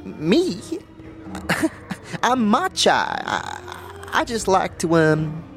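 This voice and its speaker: A woman's voice: on the low side, wildly swooping pitch, drawn-out words, soft background music, full character voice. A woman playing a tipsy, evasive tavern drinker in a fantasy audio drama, giggly and hesitant. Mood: nervous, evasive.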